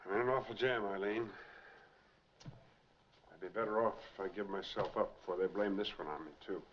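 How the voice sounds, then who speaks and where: An actor plays a hunted, determined American man in a 1940s film noir. His voice is low and his phrasing clipped and hard-boiled. The soundtrack is muffled and plainly noisy. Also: steady tone, normal speed, natural voice. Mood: grim, weary.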